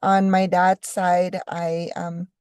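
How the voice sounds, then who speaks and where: Lowish-voiced woman from new mexico doing a keynote talk, slow and thoughtful, lots of ums, pretty dry close sound. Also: level tone, a little mellow, clean tone, dry recording. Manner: unhurried, reflective, many ums and restarts. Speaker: keynote speaker, professor.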